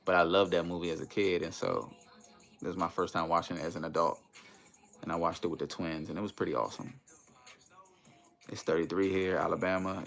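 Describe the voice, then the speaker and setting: A deep-voiced American man hosts a casual livestream over music. He talks to his viewers in a slangy, conversational way, dropping in tags like "you know" as he goes. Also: creaky, a bit bright. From United States, Maryland and Washington DC area. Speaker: livestream host chatting with viewers.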